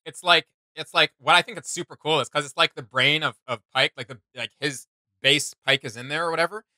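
A man's voice: on the high side, fairly quick, pushed and bright, dry recording, clean studio sound. A man in his thirties giving casual reaction commentary as a video host in a small room. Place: small indoor room with desk setup.

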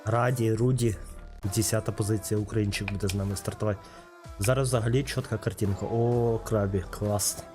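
Guy streaming a racing game, chatty, cusses, music loud behind him. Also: fairly low pitch, steady tone, a little fast. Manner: chatty, swears, talks to chat by name. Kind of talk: casual live-stream chat while racing. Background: game music and engine sounds.

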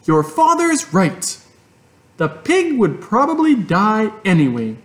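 A man's voice: high-pitched, wildly swooping pitch, slow pace, fairly bright tone, pitched above the performer's own voice, exaggerated voice. A man voicing a practical farm mother who speaks firmly and matter-of-factly. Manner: firm, matter-of-fact.

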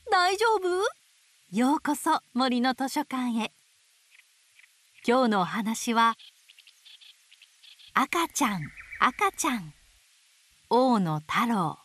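A woman reading picture books aloud to babies in a gentle, sing-song way, dwelling on playful sound words. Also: high voice. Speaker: storybook narrator voicing an owl. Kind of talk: children's picture book read-aloud.

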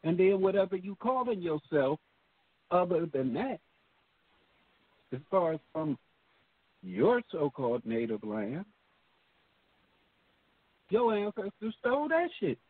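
A man's voice: sits high, sing-song, slow pace, long pauses, no room sound. A man co-hosting a casual talk show, speaking bluntly and emphatically with a lot of profanity. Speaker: co-host. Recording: tinny phone line.

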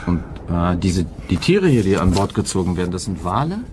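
Halting, thoughtful male investigator. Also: deep voice, big pitch swings, noisy background. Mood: hesitant. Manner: halting, with repeated words. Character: male German investigator, thoughtful, hesitant, dry. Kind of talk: radio drama dialogue and narration.

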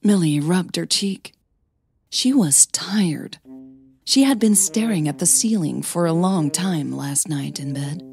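A woman's voice: lowish voice, mellow. A woman hosting a podcast and narrating a scripted audio story.

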